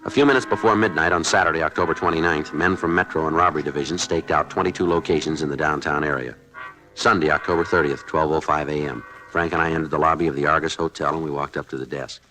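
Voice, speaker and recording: Casting: very low-voiced American detective sergeant, brisk, deadpan and businesslike, fifties radio crime drama with music. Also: male voice, low-quality recording. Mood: clipped, factual. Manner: clipped, deadpan, matter-of-fact. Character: terse, businesslike police detective sergeant, level-headed. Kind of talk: 1950s radio drama acting and narration. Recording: 1950s radio recording.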